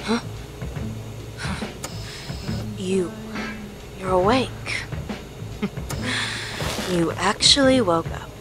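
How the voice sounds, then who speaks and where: A woman playing a bitter character in a radio play, speaking in a measured, pointed, halting way. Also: medium pitch, lightly acted. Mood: surprised, dry.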